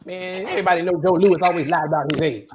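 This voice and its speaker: A woman's voice: fairly low pitch, fairly even intonation, quick pace, a little mellow, a little hiss or hum, natural voice. A woman playing an opinionated, boastful old male barber in a comedy table read, folksy and argumentative.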